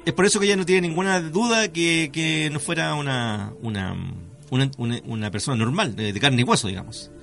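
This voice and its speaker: Bright, higher-pitched radio host, male. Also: creaky, soft background music. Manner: halting, repeats words, seeks confirmation with tag questions. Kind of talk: conversational radio commentary.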